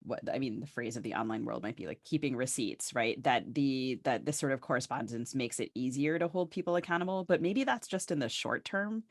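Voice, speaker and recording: A woman's voice: very deep voice, brisk, few pauses, no echo, clean studio sound. A woman co-hosting a podcast, asking conversational interview questions in an exploratory, loosely phrased way. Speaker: podcast co-host.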